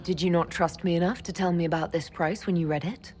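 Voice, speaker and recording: A woman's voice: on the low side, steady tone, fast talker, darkish tone, faint music behind, lightly acted. An actress playing a skeptical, direct heroine who leads others in a fantasy game, serious and probing in her questions. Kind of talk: dramatic scripted game dialogue.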